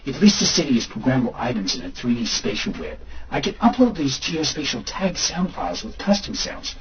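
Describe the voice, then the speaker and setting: An actor playing an excitable, hungover man showing off an app in an amateur audio drama. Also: mid-range voice, fairly quick. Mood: excited. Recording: muffled recording with faint background noise.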